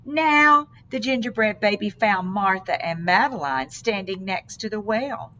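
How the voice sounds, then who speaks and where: Woman reading a storybook at an unhurried pace. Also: mid-range voice, animated, bright, forceful tone. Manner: dramatic, deliberate phrasing. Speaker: storybook reader. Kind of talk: expressive children's read-aloud.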